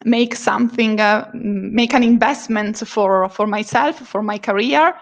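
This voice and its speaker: Slow, hesitant Italian-accented woman. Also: on the high side, gravelly. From Italy. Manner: hesitant, many fillers, giggly. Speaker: freelance translator, guest. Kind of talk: casual interview answers.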